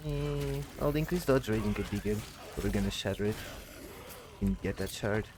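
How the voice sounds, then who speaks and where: A man's voice: fairly low pitch, normal speed, noisy background. A man giving casual gameplay commentary, muttering his thoughts aloud in short fragments. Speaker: gamer narrating a playthrough. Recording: game music behind. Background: video game music.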